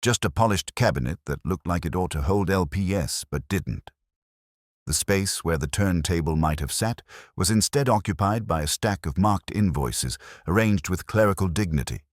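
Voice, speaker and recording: A man with an extremely deep voice reads first-person detective fiction in a reflective, literary way. His intonation moves widely while the delivery stays measured. The sound is dry and close, with no room around it. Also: no echo. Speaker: narrator. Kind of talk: scripted first-person detective audio drama narration.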